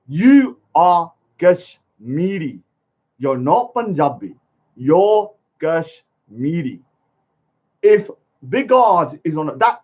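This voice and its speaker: Combative ranting man, lively pitch. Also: breathy edge. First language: English. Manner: blunt, combative, repeats "yeah?" and "let me say something". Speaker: speaker's corner vlogger. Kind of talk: ranting monologue. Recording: dull lo-fi sound.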